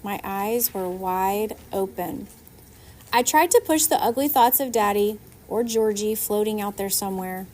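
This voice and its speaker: A woman narrating a children's novel aloud in an expressive storytelling style. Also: medium pitch, a little slow. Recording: faint background noise.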